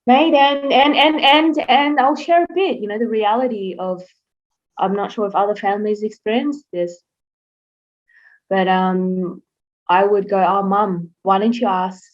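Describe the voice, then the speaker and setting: A young Australian woman answering interview questions in a casual, rambling way, with lots of fillers and restarts. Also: mid-range voice. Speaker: interview guest. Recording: slightly dull lo-fi sound.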